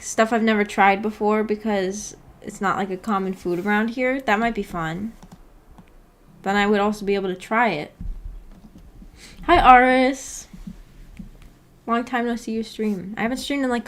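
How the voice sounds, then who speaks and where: A woman's voice: medium pitch, unhurried, pauses often. A woman hosting a casual livestream, rambling and thinking aloud in a laid-back way as she chats with viewers. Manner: reads viewer comments aloud and replies. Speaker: livestream host.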